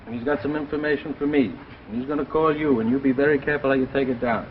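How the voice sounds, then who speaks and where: A noisy old radio recording with long reverb carries an actor playing a driven scientist husband. He speaks in a mid-pitched voice, halting and insistent, with emphatic 1950s drama delivery. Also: fairly even intonation, narrow, tinny audio, natural voice. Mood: impatient, instructing. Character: driven, preoccupied scientist husband placating his wife.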